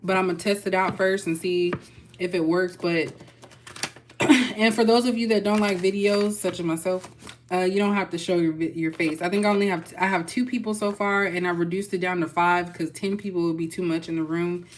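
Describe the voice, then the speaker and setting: A woman's voice: lowish voice, steady tone, a little fast, a little hiss or hum. A young woman with a Texas accent giving a casual, chatty tarot reading and talking loosely to her viewers. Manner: chatty, loose, folksy with y'all. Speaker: tarot reader.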